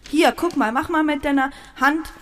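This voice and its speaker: A woman co-hosting a podcast, playful and a bit bossy, with short quick reactions. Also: high voice. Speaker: podcast co-host. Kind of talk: casual chat with playful role-play. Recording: reverberant, slight hiss.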